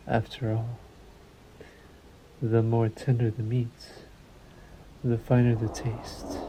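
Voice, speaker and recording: Guy doing a sadistic vampire, dark velvety voice, silky n taunting, possessive, roleplay audio w a bit of stormy background noise. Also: mid-range voice, lightly acted, rough recording. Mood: menacing, bargaining. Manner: taunting, silky, theatrical, deliberate phrasing. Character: sadistic male vampire lord, suave, menacing, possessive. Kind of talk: slow intimate audio roleplay monologue to the listener.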